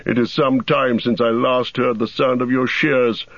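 A man's voice: mid-range voice, fairly even intonation, a little slow, a bit bright, dry recording, dull audio, voice pushed up. A man playing a stern old wizard. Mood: grave counsel. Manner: grave, commanding.

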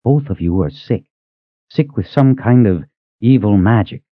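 A man voicing a big gruff middle-aged male coachman who sounds weary and patient. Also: on the low side, lively intonation, unhurried, no room sound, highs cut off, voice pushed up.

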